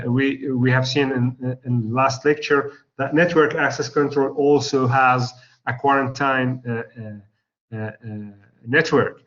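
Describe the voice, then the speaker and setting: A male lecturer with an Arabic accent, losing his voice, teaching a class in a halting way with many pauses and restarts. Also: mid-range voice, fairly bright tone. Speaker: university lecturer. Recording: slightly dull lo-fi recording.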